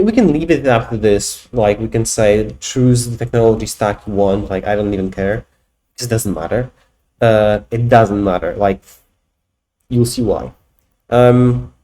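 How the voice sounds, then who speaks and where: A man's voice: medium pitch, mellow. A man whose first language is Polish, in his thirties, casually walking through a screen demo and thinking aloud, with frequent restarts and fillers. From Poland. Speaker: tech video host. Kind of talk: casual screen-share walkthrough.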